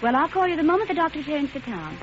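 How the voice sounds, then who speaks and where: An actress playing a courteous, businesslike doctor's secretary in a 1940s radio drama. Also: female voice, sits high, sing-song, very fast. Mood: polite, businesslike. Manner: polite, businesslike phone manner. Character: prim, courteous female doctor's secretary or nurse. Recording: dull, sizzling, noisy old radio recording.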